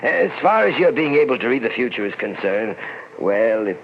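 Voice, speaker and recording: An actor playing an insistent, officious doctor with fussy, emphatic delivery in an old radio mystery. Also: male voice, medium pitch, big pitch swings, normal speed. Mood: insistent, alarmed. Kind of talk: 1940s radio mystery acting. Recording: muffled noisy old radio recording.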